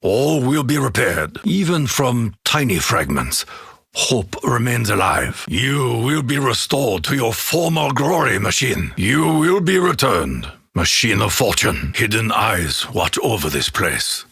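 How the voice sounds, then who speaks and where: An actor playing a solemn, honor-bound Japanese warrior in a video game, with a Japanese accent and a grave, formal, measured delivery in a gravelly, husky voice. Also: male voice, mid-range voice, very animated, pushed and bright, no room sound, studio quality, heavily acted. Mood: solemn, reverent, then grave, wary. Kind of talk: scripted video game character quote lines.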